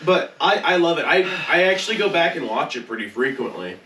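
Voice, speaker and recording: A man chatting casually on a podcast panel, halting and repeating words as he gets started. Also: fairly high pitch, big pitch swings, bright, forceful tone, slight background noise. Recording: echoey room, distant mic.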